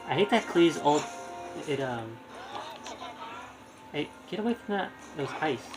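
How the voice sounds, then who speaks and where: A man's voice: a little high, animated, measured pace, over music, low-quality recording. A man streaming a video game, thinking aloud in casual, rambling live commentary.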